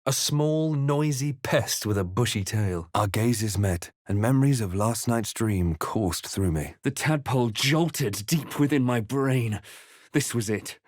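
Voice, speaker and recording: Brooding male adventurer from a fantasy game, grave n measured storytelling, close dry sound. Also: medium pitch, animated, a little mellow, no echo. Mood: grave, narrating. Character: brooding male adventurer hero recounting his perils. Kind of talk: dramatic game narration and short character remarks.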